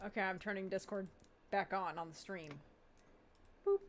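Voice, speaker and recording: A woman's voice: mid-range voice, steady tone, normal speed, a little hiss or hum. A woman hosting a casual livestream chat with fellow cast members. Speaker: show host running the livestream.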